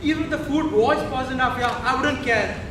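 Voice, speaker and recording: An actor playing a devoted but hardened palace attendant in a stage play. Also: male voice, very high-pitched, brisk. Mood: insistent. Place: indoor theatre stage. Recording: echoey hall, distant mic, noisy.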